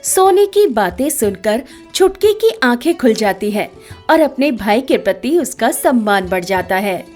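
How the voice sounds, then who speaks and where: High, lively, unhurried woman storyteller. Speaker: storyteller narrator. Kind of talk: scripted children's story narration. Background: background music.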